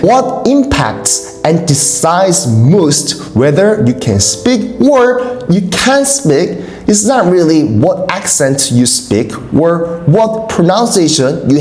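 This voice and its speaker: A woman's voice: low-pitched, a little mellow. A woman from South Korea with a Korean accent, a native Korean speaker, giving an emphatic English-learning monologue straight to viewers and repeating key points. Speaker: English teacher and video host. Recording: roomy echo, faint music.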